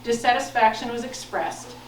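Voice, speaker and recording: A woman's voice: a little high, fairly even intonation, moderate pace. Distant American woman reading a formal statement. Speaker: school board president. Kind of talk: formal prepared statement read aloud. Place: indoor board of education meeting room. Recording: roomy, noisy, distant meeting-room recording.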